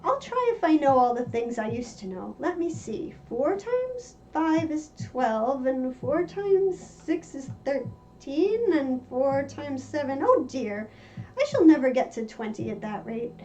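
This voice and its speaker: A woman's voice: high-pitched, sing-song, a little slow, dark tone, slight background noise. A woman voicing an anxious, bewildered little storybook girl in a dramatic, exclaiming manner. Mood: puzzled, fretful. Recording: muffled lo-fi with music behind.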